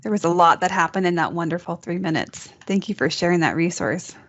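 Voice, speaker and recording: A woman's voice: fairly low pitch, brisk. A woman co-hosting a webinar, speaking in a conversational, facilitating way. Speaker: webinar co-host. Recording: dull lo-fi video call audio.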